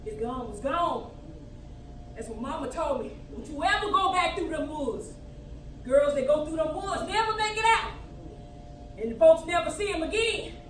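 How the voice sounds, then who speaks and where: A woman performing a dramatic monologue as a frightened, defiant young country girl, telling her story haltingly and with great emotion. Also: animated. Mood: subdued, haunted. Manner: halting, repeating words, highly emotional storytelling. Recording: echoey room, distant mic, faint noise.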